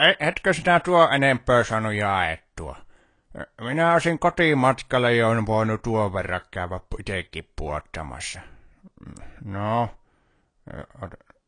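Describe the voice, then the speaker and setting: A man's voice: mid-range voice, sharp tone, no echo, studio quality. A man voicing a grumbly, teasing village shopkeeper in a video game, with a northern Finnish dialect and a drawling, hesitant delivery. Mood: grudging. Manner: folksy, drawling, hesitant stammered starts. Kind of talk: video game character dialogue.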